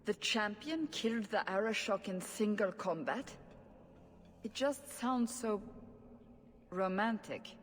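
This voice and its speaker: A woman's voice: medium pitch, animated, slow pace, long pauses, in a large hall. An actress playing a stern, earnest woman investigator in a video game, questioning in a probing manner. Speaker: interrogator. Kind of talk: video game cutscene acting.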